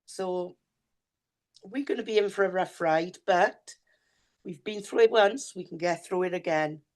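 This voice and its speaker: A woman's voice: fairly low pitch, unhurried, darkish tone. A woman with a Welsh accent co-hosting a podcast in a down-to-earth, chatty way, her voice a little worn. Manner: chatty, down-to-earth, speaks straight to listeners. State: voice going hoarse. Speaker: podcast co-host and reader. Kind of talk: casual chat.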